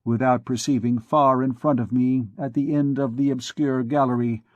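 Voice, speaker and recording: A gloomy, scholarly archdeacon given voice by a male narrator answers coldly and deliberately. The reading sits close and dry, with no echo. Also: medium pitch, steady tone, phone-quality sound, close to an everyday voice. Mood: fervent. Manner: cold, measured, grave. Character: gloomy, aloof middle-aged archdeacon scholar. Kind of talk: audiobook character reading.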